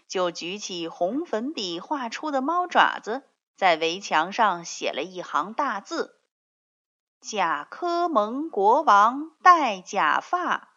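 A woman reading a scripted story for small children in an expressive, drawn-out way. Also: mid-range voice, lo-fi sound.

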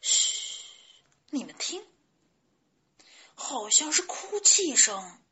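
A woman voicing eager, excitable little chicks with childlike, exclaiming speech. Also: normal speed, lo-fi sound, slight background noise. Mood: concerned. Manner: exclaiming, high-spirited.

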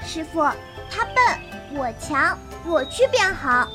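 A woman's voice: very high voice, sing-song, takes it slowly, music in the background, heavily acted. A woman playing a proud, fierce little girl disciple in a Chinese period martial arts audio drama, curt and childlike. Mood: eager, obedient.

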